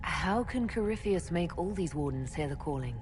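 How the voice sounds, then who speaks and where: Woman acting composed, low-pitched elven mage leader. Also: expressive, normal speed, darkish tone, lightly acted. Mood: firm, questioning. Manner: direct, questioning, composed. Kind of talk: dramatic video game dialogue. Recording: background music under the voice.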